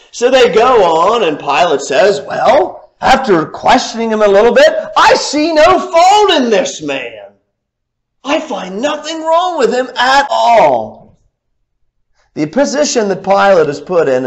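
A young man preaching a sermon at a church pulpit, swinging from loud, raised lines to low, quiet ones, picked up by a distant camera.

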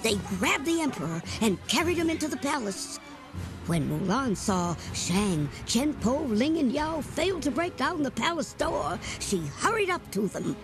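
Lively, high-voiced woman reading a storybook. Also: a little slow, over music, rough recording. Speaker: storybook reader. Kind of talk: read-aloud storybook narration.

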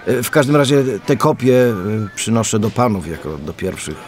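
A man's voice: mid-range voice, very animated, normal speed, faint music behind, lightly acted. An actor playing a polite, earnest policeman loyal to his men, speaking formally and hesitantly in a radio drama. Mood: matter-of-fact.

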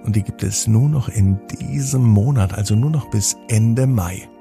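A man's voice: fairly low pitch, normal speed, creaky, mellow, soft background music. A man hosting a children's podcast, narrating a bedtime story expressively and speaking directly to children.

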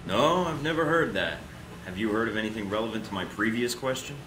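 Man playing a deep-voiced, cool secret agent. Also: lively intonation, fairly quick, lightly acted, rough recording. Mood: businesslike, impatient. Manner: dry, curt, understated. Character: cool, terse, cocky young male secret agent. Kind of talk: video game cutscene acting.

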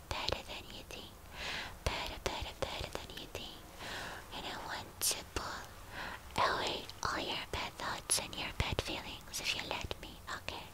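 A woman doing an ASMR roleplay as a tender, protective anime demon girlfriend, in a breathy whisper, repeating words soothingly. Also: close to an everyday voice, poor audio. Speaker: ASMR performer.

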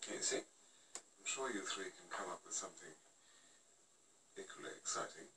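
A middle-aged man playing a polite, flustered, bookish character in a TV series, halting and stammering. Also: sits low, natural voice. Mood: politely flustered. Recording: noisy, distant TV show playback.

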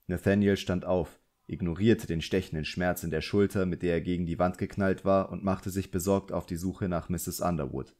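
Low-voiced male audiobook narrator. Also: fairly even intonation, normal speed, few pauses, a little mellow. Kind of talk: scripted novel narration.

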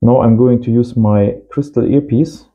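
A man's voice: mid-range voice, fairly even intonation, normal speed, narrow, tinny audio. A man in his thirties giving a casual hands-on tutorial as host, in simple short phrases. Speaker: host of amateur radio channel. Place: small indoor home workshop.

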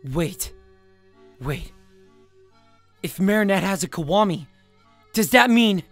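A woman's voice: mid-range voice, lively intonation, slow, dry recording, faint music behind. A woman voicing a flustered, stammering teenage boy in a fan cartoon comic dub. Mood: puzzled. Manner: stammering, unsure.

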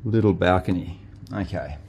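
Deep-voiced man on a casual tour. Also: normal speed, creaky, darkish tone, slight background noise. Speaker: vlogger reviewing a hotel. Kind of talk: casual walk-through tour narration. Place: walking around a hotel, rooms and rooftop. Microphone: handheld phone.

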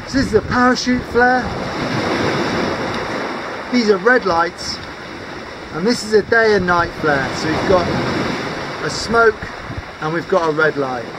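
Upbeat high-voiced man outdoors by the sea. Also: measured pace, long pauses, very noisy. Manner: upbeat, practical, direct. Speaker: sea kayaking instructor. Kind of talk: outdoor gear demonstration to camera. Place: outdoors on coastal rocks by the sea.

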